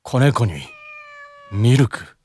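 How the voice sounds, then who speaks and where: An actor playing a wrathful demon brother in a mobile game drama, threatening, icy and explosive in temper. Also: male voice, medium pitch, lively intonation, extremely slow, a little mellow, breathy edge, voice pushed down, full character voice. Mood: icy menace.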